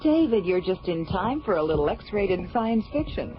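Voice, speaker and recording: An actress playing a wry, teasing space station officer in a radio drama, on a dull old recording. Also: female voice, mid-range voice, sing-song, moderate pace, a little hiss or hum, close to an everyday voice. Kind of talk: 1980s radio drama acting.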